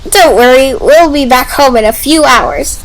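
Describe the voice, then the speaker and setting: A woman voicing a calm, clever young boy who reassures his sister. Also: high voice, a little slow, poor audio. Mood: reassuring. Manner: reassuring, steady.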